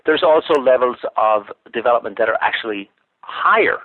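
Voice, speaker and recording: Man, talk-show guest, reflective and searching for words, mid pitch, narrow phone-line sound. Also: animated, a little fast, no room sound. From Ireland. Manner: thoughtful, with frequent restarts and filler words. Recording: tinny dry phone line.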